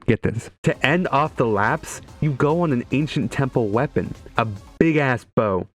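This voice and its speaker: A young man giving joking, irreverent video game commentary in a sarcastic, sweary way. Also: mid-range voice.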